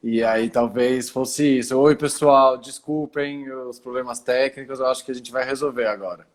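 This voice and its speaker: A man from Italy, speaking as a guest on a video call through his phone, calmly explaining things and often pausing to collect his thoughts. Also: mid-range voice. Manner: calm, explanatory, pauses often to gather thoughts. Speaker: guest, cultural center founder. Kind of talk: casual video call interview.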